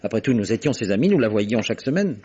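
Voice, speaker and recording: A man's voice: on the low side, sing-song, fast talker, mellow, breathy edge. An actor playing an earnest, easily stung friend in a radio mystery, colloquial and insistent as he reasons. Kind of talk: French radio mystery drama acting. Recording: dull, muffled radio drama recording.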